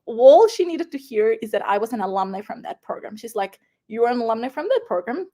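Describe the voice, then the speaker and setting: A young woman with a Ukrainian accent, her voice sitting a little high. She talks in a loose, animated way, full of fillers, restarts and quick laughs. She is a guest recounting her own story in an interview.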